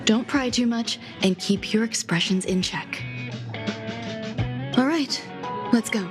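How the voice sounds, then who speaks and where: A woman voicing a reserved, composed female scientist in a video game, speaking in a measured, understated, formal way. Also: fairly high pitch, music in the background. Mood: composed, confiding.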